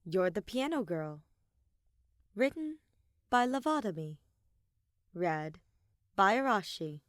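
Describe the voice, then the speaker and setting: A woman's voice: medium pitch, sing-song, slow, no echo. A woman reading scripted fiction aloud as a story narrator, in a close recording.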